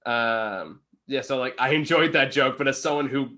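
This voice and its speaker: A man's voice: mid-range voice, moderate pace, pushed and bright. A man co-hosting a casual film review, chuckling and swearing as he talks. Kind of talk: casual movie review chat.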